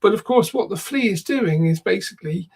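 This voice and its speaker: A man channeling a gravelly, formal spirit guide. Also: high voice, animated, brisk, darkish tone, exaggerated voice. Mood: calm, explanatory. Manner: formal, calls her "my dear", speaks as "we". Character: male spirit guide, formal and gently kindly.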